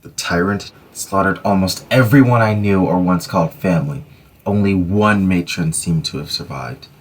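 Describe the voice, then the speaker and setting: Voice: deep voice, moderate pace, breathy edge. A voice actor playing Macduff, a weary, grief-struck Scottish nobleman who speaks in a solemn, formal, old-fashioned way in an amateur audio drama. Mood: somber.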